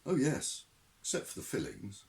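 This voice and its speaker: A man voicing a timid, nervous young man who speaks hesitantly and squeaks. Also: huge pitch swings, moderate pace, gravelly, a little mellow, full character voice.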